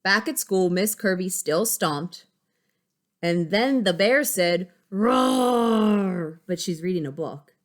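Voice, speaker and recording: A woman with a fairly low voice reads to young children as their teacher. She takes it very slowly, keeps her phrasing simple and often checks in with questions. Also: animated. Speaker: schoolteacher reading aloud to young pupils. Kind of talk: picture-book read-aloud with questions to children.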